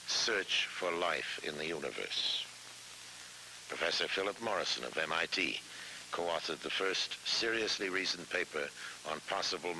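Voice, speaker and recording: A man reading scripted documentary narration in a measured, solemn manner. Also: deep voice, pushed and bright, rough recording. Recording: faint tape hiss.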